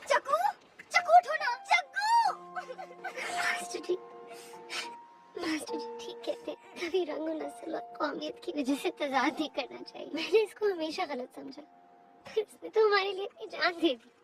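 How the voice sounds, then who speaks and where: A woman's voice: extremely high pitch, sing-song, moderate pace, pauses often, recorded from a distance, over music, full character voice, poor audio. A woman voicing an earnest, kind-hearted, plucky child in a cartoon, with an emotional way of talking. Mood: distraught, remorseful.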